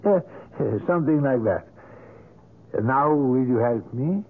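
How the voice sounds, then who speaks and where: An actor playing a courteous, sly and mysterious portrait sculptor in a 1970s radio drama, speaking formally with polite hesitations. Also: male voice, mid-range voice, animated, measured pace. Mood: evasive. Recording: thin lo-fi old radio broadcast.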